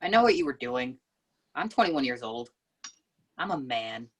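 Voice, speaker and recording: A man's voice: mid-range voice, sing-song, moderate pace, close to an everyday voice. Earnest, touchy young sitcom man, read by a man, swooping delivery, noisy clicking mic. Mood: defensive. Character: defensive, earnest 21-year-old man in a sitcom. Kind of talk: amateur table read acting.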